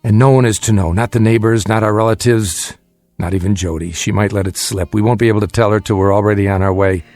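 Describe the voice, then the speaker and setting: A man's voice: lowish voice, fairly even intonation, fast, a little mellow, no room sound, soft background music, close to an everyday voice. An American actor playing a middle-aged, secretive family father in a radio drama, commanding, guarded and urgent. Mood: hushed urgency. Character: tense secretive family father plotting an escape.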